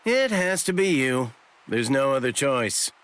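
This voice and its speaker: An actor playing a sly, breezy shopkeeper in an anime game dub, with a glib, theatrical, playful way of talking. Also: male voice, mid-range voice, sing-song, measured pace, a bit bright. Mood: matter-of-fact. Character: sly, breezy shopkeeper hiding great power, cheekily self-flattering.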